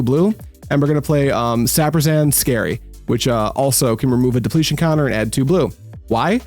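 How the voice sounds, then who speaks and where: Upbeat young man streaming card games. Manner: energetic, self-deprecating, swings to high excited yelps. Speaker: gaming channel host. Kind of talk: casual gameplay commentary.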